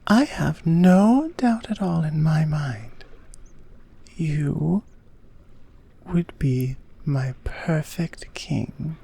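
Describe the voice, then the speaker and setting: A woman acts out an Amazonian queen with a mellow, husky voice. She is gentle and earnest, speaking in soft, unhurried, half-whispered phrases as in an intimate ASMR audio drama. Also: low-pitched, lively intonation, heavily acted. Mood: reassuring, confiding. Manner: soft, breathy, measured, half-whispered delivery.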